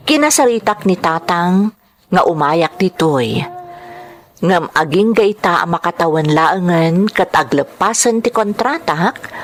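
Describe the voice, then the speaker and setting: A woman reads scripted dramatic narration in a slightly low voice. Her delivery is measured and expressive, with lively rises and falls. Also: a little hiss or hum. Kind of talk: scripted dramatic narration of listeners' letters in Ilocano.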